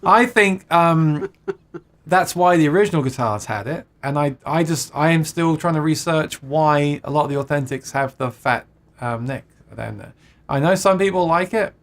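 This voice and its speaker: A man's voice: a little high, moderate pace. Chatty man hosting a livestream. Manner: chatty, laughs often, many restarts. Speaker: livestream host reading viewer comments. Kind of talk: casual chat about guitars.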